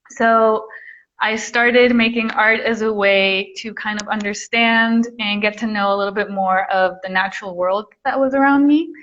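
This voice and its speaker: Young artist from mexico talking over a dull video call, easygoing, lots of ums. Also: female voice, medium pitch. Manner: easygoing, many ums, laughs softly. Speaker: guest artist. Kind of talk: casual interview answers. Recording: dull muffled call audio.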